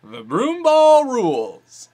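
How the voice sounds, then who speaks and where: A man's voice: very high voice, wildly swooping pitch, slow pace, dark tone, heavily acted. A man playing a prim, mock-polite narrator of an old-time instructional film parody, scolding in a sing-song way. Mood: prim, admonishing. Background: music.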